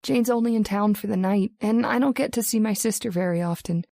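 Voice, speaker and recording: Female actor as young sweetheart, gentle, playful, affectionate, mid pitch, dry studio sound, radio drama. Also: fairly quick, gravelly, no echo, lightly acted. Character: affectionate, lighthearted young fiancée. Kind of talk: old-time radio drama acting.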